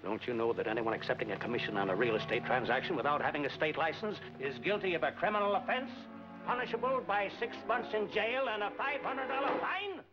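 An actor playing a hard, threatening older crooked boss with a sneering, domineering manner in a 1940s film. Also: male voice, a little high, quick pace, close to an everyday voice. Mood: gloating threat. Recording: dull 1940s film soundtrack with music.

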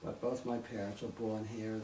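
A man in his nineties from Brooklyn with a Brooklyn accent, recalling his family in an oral history interview, halting and clearing his throat. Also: lowish voice, little pitch movement, dark tone. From Brooklyn, New York. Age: 90s. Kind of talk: reminiscing interview. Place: living room, seated in armchair. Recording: roomy echo, distant mic, faint hiss.

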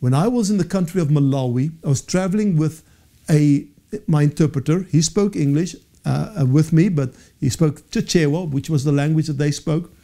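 A man's voice: on the high side, moderate pace, mellow. A man in his forties whose first language is Afrikaans, teaching a Bible session to camera in a studio with earnest, gesturing delivery and frequent self-corrections. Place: studio with dark backdrop. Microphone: lapel mic.

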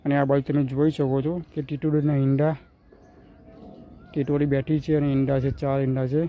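A man's voice: mid-range voice, level tone, a little fast, mellow, low-quality recording. A young man with a Gujarati accent, walking around his village rooftop and describing what his phone camera shows, repeating his phrases often. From Gujarat, India. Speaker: village vlogger. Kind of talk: casual walk-and-talk vlog. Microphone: handheld phone camera. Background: birds calling.

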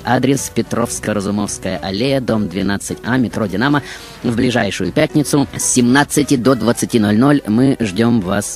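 A man hosting a radio show in a dramatic, showman-like manner. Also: on the low side. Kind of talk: theatrical radio show presenting. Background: music.

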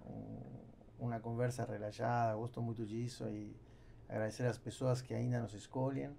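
Man, forties, gravelly creak, casual podcast guest, faint-to-clear background noise. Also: mid-range voice, level tone, normal speed, dark tone. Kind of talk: casual, brief thanks. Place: small foam-padded podcast studio. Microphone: studio microphone on boom arm.

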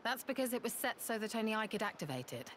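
A woman's voice: a little high, sing-song, fast talker, a bit bright, slight background noise. A woman playing a stern, proud winged warrior in a video game, speaking in a grave, commanding manner. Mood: grave, resolute. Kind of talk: video game cutscene acting.

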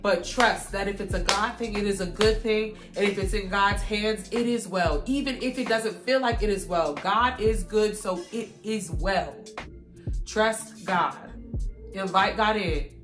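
Young woman vlogger, hoarse and stuffy, preachy and encouraging, roomy echo, soft music under. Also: mid-range voice, unhurried. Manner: encouraging, preachy, addresses viewers directly. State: hoarse and congested. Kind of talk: casual faith-filled catch-up talk.

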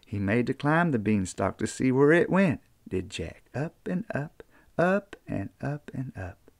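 An older man with an Appalachian accent, reading a children's storybook aloud in an unhurried, folksy way. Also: medium pitch, big pitch swings, creaky, no echo, clean studio sound. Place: small book-lined room indoors.

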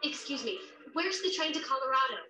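An actress playing an eager, hurried train traveler in an audio play, exclaiming urgently. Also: female voice, high-pitched, animated, sharp tone, roomy sound, faint music behind.

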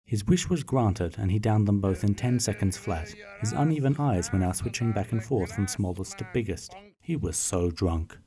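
Low, mellow male narrator. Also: lively intonation, moderate pace, few pauses. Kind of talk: scripted storybook narration of a radio play.

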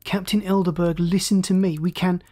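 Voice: mid-range voice, flat delivery, moderate pace, mellow, natural voice. A narrator voicing a determined male space officer who speaks urgently and insistently in a science fiction story.

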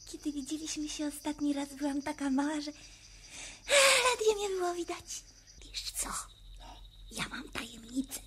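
A woman's voice: high-pitched, unhurried, long pauses. A woman playing a tiny, fearless, mischievous girl creature in a 1970s radio play, cheeky and exclamatory. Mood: secretive. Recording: noisy vintage vinyl radio play.

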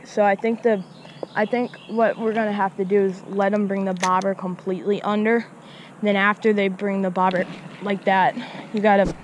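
A woman's voice: mid-range voice, slight background noise. A woman casually narrating her fishing outdoors by a small creek, recorded on an action camera, chatty and talking to her viewers. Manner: chatty, repeats words, addresses viewers as guys. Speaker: fishing vlogger.

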